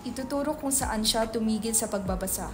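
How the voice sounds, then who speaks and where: A woman with a fairly high voice narrates a play from a script, reading out the stage directions. Music is clearly heard behind her. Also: fairly quick. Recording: clear background music under voice.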